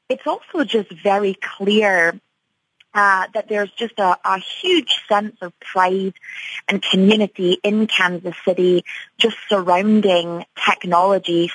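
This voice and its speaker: Seorang perempuan beraksen Skotlandia berbicara ceria dan santai dalam wawancara radio, dengan banyak jeda "um" dan "uh". Suaranya kering dan dekat, tanpa gema ruangan. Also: female voice, mid-range voice, a bit bright, dry recording, low-quality recording. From Scotland. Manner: upbeat, chatty, many fillers. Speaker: tech company CEO, guest. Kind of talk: radio interview answers.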